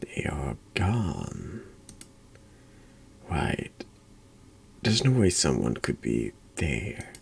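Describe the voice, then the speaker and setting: Very deep, creaky, slow-spoken man. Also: wildly swooping pitch, slight background noise. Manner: hushed, slow, often slipping into whisper. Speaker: horror game streamer, narrator. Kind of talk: ASMR horror game read-aloud.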